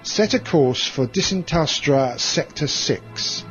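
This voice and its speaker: A man's voice: a little high, animated, slow, fairly bright tone, lo-fi sound, soft background music. An actor playing a cold, cruel, commanding male space commander in a science fiction audio drama, with contempt in his delivery. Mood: brisk command.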